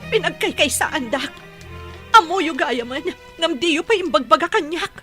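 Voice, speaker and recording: A woman's voice: high-pitched, lively intonation, unhurried, a bit bright, soft background music. An actress playing a hurt, indignant young Filipino woman with an accusing, emotional delivery in a radio drama.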